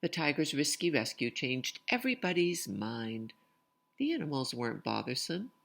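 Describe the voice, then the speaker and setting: A woman's voice: rumbling low, sing-song, moderate pace, vocal fry, fairly bright tone. A woman reading a picture book aloud expressively, with casual asides to young listeners. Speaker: read-aloud storyteller.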